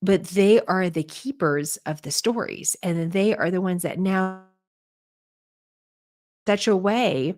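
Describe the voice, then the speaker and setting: A woman reporter speaks in a slightly low voice, earnest and reflective. She sometimes pauses to search for the right word as she narrates. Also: a little mellow.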